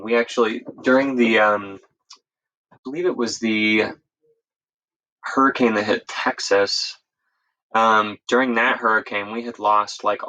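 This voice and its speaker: Male, American, lowish but bright voice, informal interview guest who rambles and backtracks mid-sentence. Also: poor audio. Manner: rambling, self-correcting, informal. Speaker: interview guest, EMS instructor. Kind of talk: casual conversational storytelling.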